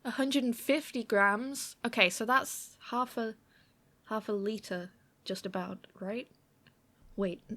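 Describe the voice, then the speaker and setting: High-voiced, playful young woman roommate, acted by a woman. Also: slow pace, bright, forceful tone, full character voice. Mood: amused, improvising. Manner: chatty, self-deprecating, hesitant, often giggly, murmuring to herself. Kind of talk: girlfriend ASMR audio roleplay.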